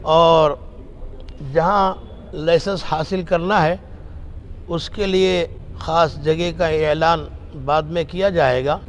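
Older cleric, slightly high voice. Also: male voice, steady tone. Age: 60s. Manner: measured, halting phrases with formal religious vocabulary. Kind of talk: formal public statement. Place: indoor meeting room with others seated. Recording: faint room noise behind the voice.

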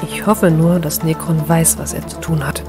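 A man puts on a wounded young heroine in a fantasy audio drama. The voice is short-winded and pained, pitched above his own but held flat. Music plays clearly behind it. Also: normal speed, close to an everyday voice. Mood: strained, worried. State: short of breath, in pain.

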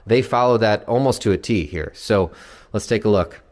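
A man with a fairly low voice narrates a house tour off the cuff. He explains features informally, like a builder's representative showing a client around. His voice carries long reverb from the empty interior. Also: moderate pace, a little mellow. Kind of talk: casual unscripted walkthrough narration. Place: empty new house, indoors.

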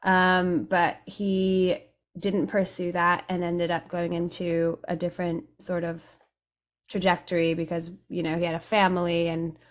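A young woman from Italy, a guest musician, talking casually in an interview over a video call, relaxed and thoughtful, with pauses and fillers. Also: fairly low pitch. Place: home room with studio mic and headphones. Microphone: studio condenser mic on video call.

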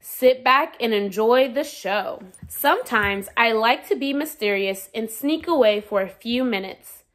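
Woman reading as a boastful, high-pitched storybook Sun. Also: a little slow, a bit bright. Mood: cheeky, proud. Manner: bragging, showy. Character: boastful, playful, self-important talking Sun from a picture book. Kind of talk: children's storybook read-aloud.

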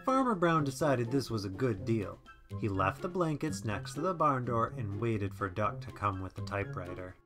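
A woman's voice: extremely low pitch, animated, normal speed, music in the background. A woman narrating a children's story expressively as a scripted read-aloud.

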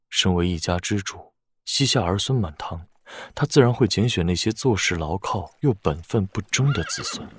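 Deep-voiced, calm strategist. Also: male voice, slightly rough. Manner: measured, deliberate, analytical. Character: calm, calculating blind male strategist advising a young prince. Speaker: voice actor in an audio drama. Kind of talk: Chinese wuxia audio drama acting.

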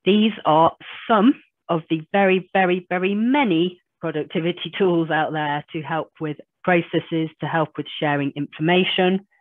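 Woman, 40s, hoarse trainer on a video call; chatty, self-deprecating, dry thin line. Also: on the low side, normal speed, no echo. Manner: chatty, self-deprecating explainer walking through screen demos. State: hoarse with a cold. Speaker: business trainer. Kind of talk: online training webinar. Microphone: video call webcam. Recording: tinny phone-like call audio.

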